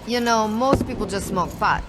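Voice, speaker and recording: Actress as tough female gang leader, highish voice. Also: moderate pace, close to an everyday voice. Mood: skeptical, irritated. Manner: blunt, no-nonsense, profane. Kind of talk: video game cutscene acting. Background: clear music.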